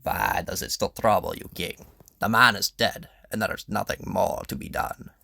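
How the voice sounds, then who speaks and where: A gruff general voiced by a man for an audio drama. He talks low, curt and surly, as if every word is grudged. The recording is dry and close. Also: moderate pace, pushed and bright, dry recording, full character voice. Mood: dismissive.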